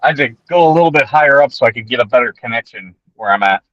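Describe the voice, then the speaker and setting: A man's voice: mid-range voice, lively intonation, very fast, dry recording. A man joining a casual chat as a guest over a remote call, friendly and plain-spoken. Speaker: guest, military veteran. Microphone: remote call connection. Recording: dull lo-fi call audio.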